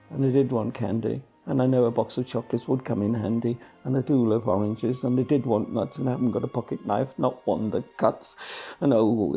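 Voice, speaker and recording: A man's voice: mid-range voice, creaky, warm tone, husky. A man voicing a lonely, childlike storybook king who pleads earnestly for presents in sing-song verse. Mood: grim disappointment.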